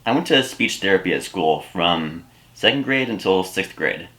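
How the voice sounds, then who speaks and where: Casting: man playing awkward, earnest comedy-sketch patient, deadpan and wordy, crisp bright tone. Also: sits low, normal speed, voice pushed down. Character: earnest, awkward male speech therapy patient.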